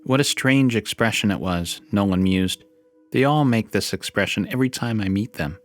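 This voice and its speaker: A man's voice: lowish voice, moderate pace, soft background music. A man reading as narrator in a scripted podcast style. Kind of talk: scripted dark story narration and podcast promo read.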